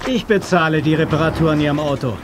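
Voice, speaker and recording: Man playing a probing, higher-voiced investigator hero. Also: fast, close to an everyday voice. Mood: apologetic, conciliatory. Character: determined, calm male investigator hero seeking answers. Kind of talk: German audio drama acting. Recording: faint noise, cassette transfer.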